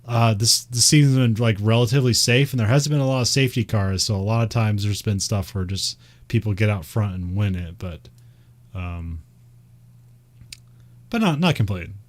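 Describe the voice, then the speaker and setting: A man's voice: lowish voice, moderate pace. A man with a Midwestern American accent, chatting casually and rambling, with frequent laughs and fillers. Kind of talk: casual chat about racing.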